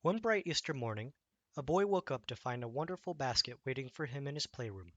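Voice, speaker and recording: A man reading a children's bedtime story aloud as an expressive storyteller. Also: medium pitch, fairly quick, no room sound, dull audio.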